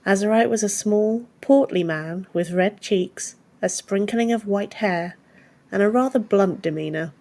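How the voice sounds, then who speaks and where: A woman's voice: lowish voice, darkish tone. A woman reading a fantasy story as a scripted audiobook narration.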